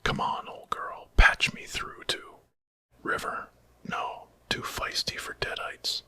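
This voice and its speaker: A man acts out a resourceful, eccentric male time traveler in an ASMR story. He is brisk and commanding. Every word is a bright, breathy whisper. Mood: urgent.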